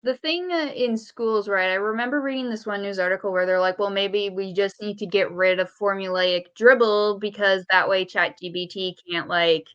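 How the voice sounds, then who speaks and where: A woman talking casually as a podcast co-host, earnest and a bit halting as she argues her points. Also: medium pitch, a bit bright, smooth, highs cut off. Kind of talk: casual speculative podcast chat.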